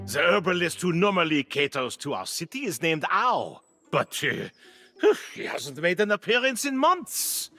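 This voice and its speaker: An actor playing a pompous, self-important town guard captain in a video game, with flowery formal speech and theatrical delivery. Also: male voice, sits high, sharp tone, full character voice. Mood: dramatic, fretful.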